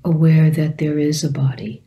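A woman meditation teacher leading a guided meditation calmly and gently, in short phrases with long pauses. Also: low voice.

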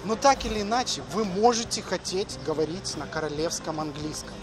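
A man's voice: sits high, animated, a little fast. A middle-aged man talking casually as the host of a walking vlog, recorded outdoors on city streets. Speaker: education agency host. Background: music, street ambience.

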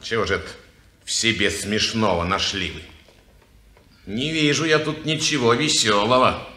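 A man's voice: fairly low pitch, moderate pace, sharp tone, a little hiss or hum. An actor playing a sour, scornful man who grumbles sarcastically, in an echoey old radio studio recording. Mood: grumbling. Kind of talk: 1950s radio play acting.

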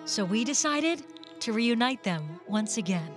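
A woman delivers a local news feature in a measured, polished way. Her voice sits fairly high, and soft music plays under the narration. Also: big pitch swings. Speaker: news reporter. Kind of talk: scripted news report.

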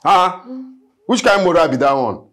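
Blustering Nigerian kidnapper. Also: male voice, fairly high pitch, lively intonation, normal speed, a bit bright. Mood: angry, boastful. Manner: blustering, repetitive, scolding. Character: volatile male kidnapper, a frustrated, boastful aspiring musician. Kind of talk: film acting, speaking Nigerian Pidgin.

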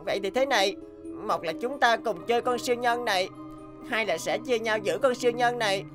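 A woman voicing an earnest, easily indignant schoolboy in a cartoon, expressive and plaintive. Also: a little high, brisk, natural voice. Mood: pleading. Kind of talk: animated cartoon dialogue. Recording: background music under dialogue.

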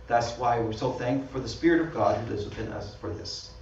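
A man's voice: mid-range voice, fairly quick, a little mellow, a little hiss or hum. A man with a Canadian accent, a pastor giving a conversational sermon with slides, laughing at himself often. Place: small church hall. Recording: dull, roomy sound from far off.

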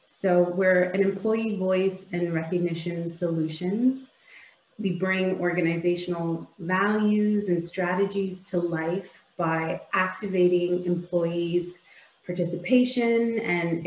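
A woman's voice: on the low side, a little mellow, reverberant, low-quality recording. A woman from Ontario with a Canadian accent, giving a polished, upbeat keynote over a video call. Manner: polished, upbeat presenting with occasional fillers. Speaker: keynote speaker.

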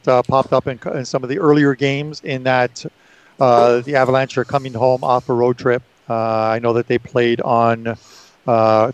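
A man in his seventies with a headset, talking hockey bets in a casual chat, pausing often with fillers. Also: medium pitch, clean tone, no room sound. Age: 70s. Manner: hesitant, many fillers, chuckling. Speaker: co-host. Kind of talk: casual sports betting chat.

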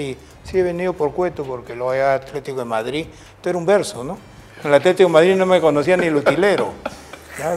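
An older man with a Peruvian accent tells long, winding anecdotes as an interview guest. His voice sits somewhat high for a man, and he often tags his sentences with a questioning "right?". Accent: Peruvian Spanish. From Peru. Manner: rambling anecdotal storytelling with tag questions. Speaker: former football coach, guest. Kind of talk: storytelling interview.

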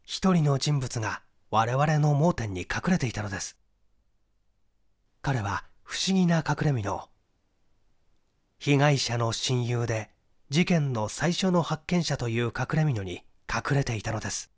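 A man voicing a methodical, assured young amateur detective in an audiobook, with deliberate, emphatic explanation. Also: medium pitch, expressive. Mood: deliberate reasoning.

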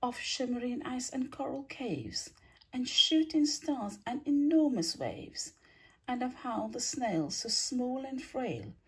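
A woman tells a rhyming picture-book story in a fairly high, very husky voice. Her delivery is theatrical and deliberate, swooping expressively in pitch and drawing out key words. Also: animated, rough recording. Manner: theatrical, deliberate storytelling with drawn-out words and breathy hushed line endings. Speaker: storybook reader, teacher.

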